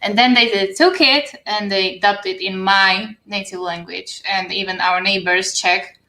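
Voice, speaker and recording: Bright-voiced woman chatting candidly. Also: mid-range voice, fairly even intonation, a little slow. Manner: candid, laughs while talking. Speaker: guest. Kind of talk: casual chat.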